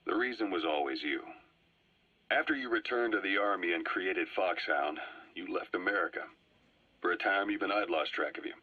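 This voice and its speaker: A dull, echoing cassette tape carries a composed Soviet spy from a video game. His husky voice recounts history slyly and deliberately, pausing for drama. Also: low voice, pushed and bright, full character voice. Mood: measured, reflective. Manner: measured, deliberate, with dramatic pauses. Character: sly, composed Soviet spy gunslinger, a measured storyteller. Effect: cassette tape filter.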